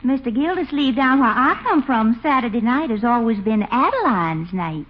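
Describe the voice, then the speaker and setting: An actress playing a coquettish, wheedling Southern belle in a 1950s radio comedy, coy and pleading with an American Southern accent. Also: female voice, on the high side, lively intonation, moderate pace, close to an everyday voice. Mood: huffy. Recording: muffled, hissy old radio recording.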